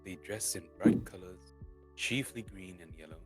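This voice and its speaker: A man reading a storybook aloud in a halting way, stumbling and repeating words as he goes. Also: low voice. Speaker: streamer reading a novel aloud. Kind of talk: dramatic storybook reading, unrehearsed. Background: soft lofi guitar music.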